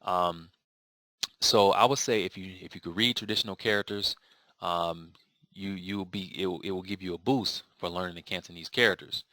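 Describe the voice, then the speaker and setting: A man with a very low voice that still rings bright and forceful talks through a viewer's question in a loose, rambling way. He restarts his sentences and leans on fillers as he explains. The sound is dry and close, with no room around it. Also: steady tone, moderate pace, no echo. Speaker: language learning vlogger. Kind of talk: casual spoken video answer.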